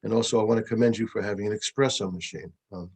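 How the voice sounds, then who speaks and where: A man's voice: lowish voice, steady tone, fast, husky. A man hosting a video call interview with doctors, effusive and full of repeated compliments.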